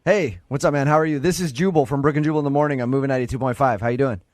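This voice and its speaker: A man's voice: medium pitch, very fast, dry recording, studio quality. A man hosting a morning radio show with playful, joking, self-deprecating banter and phone interviews.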